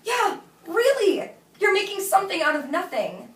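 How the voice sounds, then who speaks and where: A woman's voice: extremely high pitch, sing-song, moderate pace, a bit bright, full character voice. An actress playing a blunt, exasperated woman turning down a friend, speaking emphatically in a naturalistic drama read-through. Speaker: actress at a script table read. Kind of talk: naturalistic film drama dialogue read aloud. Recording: roomy echo, distant mic, faint noise.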